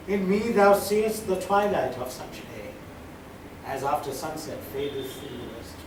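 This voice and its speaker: A man reading poetry aloud dramatically, with expressive delivery that trails off at line ends. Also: medium pitch. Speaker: poetry reader. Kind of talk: dramatic reading of sonnets with brief remarks. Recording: echoey room, distant mic, noisy background.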